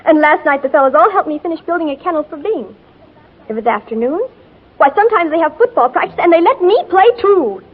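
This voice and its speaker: A headstrong tomboy of fifteen in an old radio drama. The voice is high and girlish, earnest, slangy and gushing. The recording is muffled and old. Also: lively intonation, a little fast. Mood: earnest, defiant. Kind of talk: 1940s radio drama acting.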